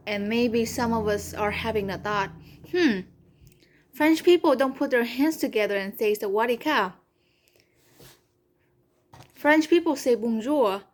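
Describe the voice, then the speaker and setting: A young woman whose first language is Vietnamese gives a careful, earnest class presentation, sometimes restarting a phrase. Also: a little high. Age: young adult. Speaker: community college student. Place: home room, online class video.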